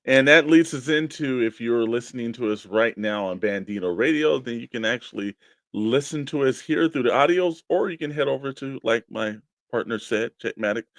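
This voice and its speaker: A man's voice: medium pitch, lively intonation, normal speed, fairly bright tone, dry recording. A man co-hosting a toy collecting show, giving promotional reads and casual plugs.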